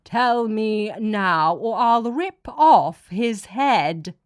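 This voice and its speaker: A woman's voice: medium pitch, expressive, extremely slow, no echo, studio quality. A woman voicing a cloaked male false god of aliens in an audiobook, menacing and contemptuous, with a sneering, commanding manner. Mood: menacing disgust. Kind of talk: dramatic audiobook character voice.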